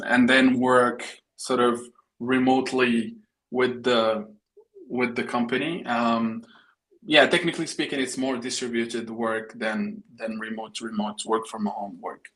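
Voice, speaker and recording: A man from Morocco answering interview questions as a startup guest, speaking conversationally with halting, repeated words. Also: mid-range voice, fairly bright tone, low-quality recording. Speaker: interview guest, startup co-founder and engineering head.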